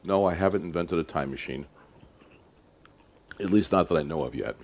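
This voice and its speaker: Deep-voiced man giving a seminar lecture. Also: darkish tone. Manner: deliberate, emphatic, conversational, with rhetorical check-in questions. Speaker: audio engineering lecturer.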